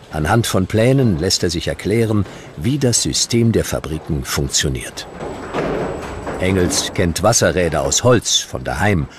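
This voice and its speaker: A man narrating a scripted historical documentary in measured, dramatic short sentences. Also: sits low, background noise. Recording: music behind the narration.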